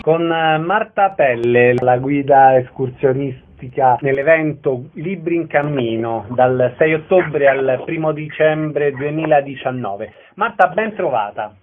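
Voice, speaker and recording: A man's voice: a little high, lively intonation, measured pace, few pauses, narrow, tinny audio, over music. A man hosting a radio interview programme, announcing details and putting questions to guests. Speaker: radio host.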